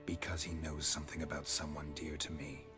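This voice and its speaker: An actor playing a gruff, laconic monster hunter in a video game, speaking tersely and deadpan. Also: male voice, extremely low pitch, brisk. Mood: serious, measured. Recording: music behind the voice.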